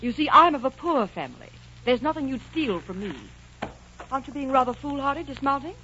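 A woman's voice: high-pitched, animated, a little fast, bright, forceful tone, a little hiss or hum. Spirited, swooping young woman, old radio. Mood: bold, teasing. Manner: teasing, theatrical. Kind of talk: 1940s radio drama acting. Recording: muffled old 1940s radio recording, music.

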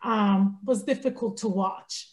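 A woman who grew up in Iran, a guest author on an interview podcast, telling stories in an animated way with many "you know"s. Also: medium pitch, slow pace. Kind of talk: casual interview chat. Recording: echoey room.

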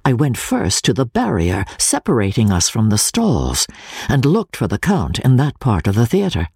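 A narrator giving a dramatic, theatrical reading of classic fiction in measured phrases. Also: mid-range voice, huge pitch swings, a little mellow, breathy edge, dry recording, studio quality. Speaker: audiobook narrator. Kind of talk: dramatic scripted literary narration.

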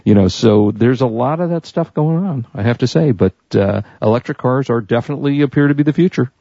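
A man's voice: mid-range voice, a little fast, creaky, dark tone, dry recording. An American man hosting a talk show, chatting casually about news in a rambling, conversational way. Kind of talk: casual tech and consumer news chat. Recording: dull lo-fi audio.

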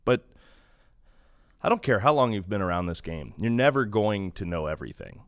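A man with a deep voice talks as a guest in a relaxed interview. He is a radio announcer, speaking in an earnest, reflective, conversational way. The recording is very dry. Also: normal speed, no echo. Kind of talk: casual interview chat.

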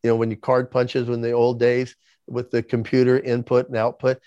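A man in his sixties with a noticeable lisp tells his story at length in an interview, stumbling over his first words before finding his thread. The recording is dry and slightly muffled, with little high end. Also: monotone, normal speed, dark tone, dry recording. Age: 60s. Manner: repeats words when starting sentences. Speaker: podcast guest.

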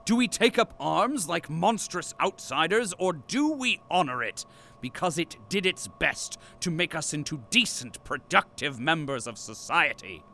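A man's voice: on the high side, animated, unhurried, creaky, sharp tone, slight background noise, exaggerated voice. A man playing a pompous captive archivist and company loyalist in an audio drama, with formal, grandiose diction. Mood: solemn, fervent.

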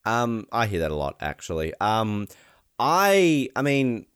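A man's voice: lowish voice, very animated, measured pace, a little hiss or hum. A man co-hosting a podcast, chatting casually and joking, swearing freely. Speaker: podcast co-host. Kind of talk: casual comedic chat.

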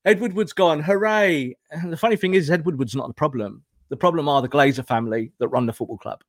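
A man's voice: fairly high pitch, quick pace, no room sound, clean studio sound. A man talking as a football pundit in an impassioned, opinionated discussion, emphatic and full of rhetorical questions and repetition.

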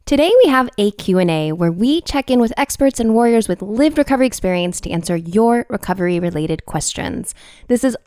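A young adult woman hosting a podcast, chatty and casual, saying "like" a lot, slightly raspy from a cold. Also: medium pitch, lively intonation, brisk, mellow, no room sound, clean studio sound. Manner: chatty, uses "like" a lot, self-deprecating. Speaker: podcast host.

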